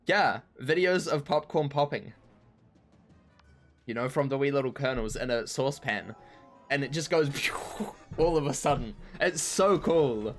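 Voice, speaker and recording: A man with a croaky voice chatting casually while streaming a game, rambling and poking fun at himself. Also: medium pitch, lively intonation, a little slow, soft background music. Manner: rambling and self-mocking, laughs easily. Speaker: streamer playing an online game. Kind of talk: casual chat while gaming.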